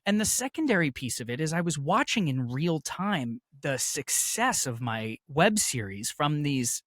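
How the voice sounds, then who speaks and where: Animated American man chatting, lively pitch. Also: unhurried, dry recording, studio quality. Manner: chatty, jokey, emphatic. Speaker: interview guest, audio fiction producer. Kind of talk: casual conversational storytelling.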